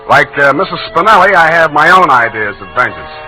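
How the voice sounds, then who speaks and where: An actor playing a wisecracking, sardonic hard-boiled private detective in a 1940s radio style, talking in wry, clipped slang. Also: male voice, on the high side, big pitch swings, fairly quick, bright, forceful tone, faint music behind, full character voice, low-quality recording. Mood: grave, wry. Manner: slangy, wry, clipped tough-guy talk. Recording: old radio drama recording.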